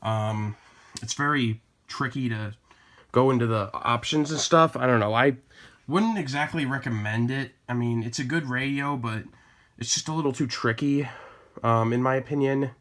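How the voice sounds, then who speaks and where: A man talks casually to viewers as he presents a collection. His delivery is plain-spoken and a little hesitant, with a middling pitch, as if thinking aloud while he shows each item. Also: moderate pace, a bit bright. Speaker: collector presenting weather radios. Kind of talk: casual product showcase vlog.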